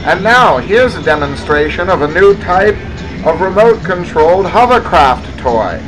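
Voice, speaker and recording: High-pitched man narrating a mock newsreel. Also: animated, normal speed, music in the background, poor audio. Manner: mock-grandiose announcer delivery. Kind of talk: parody old-time newsreel narration.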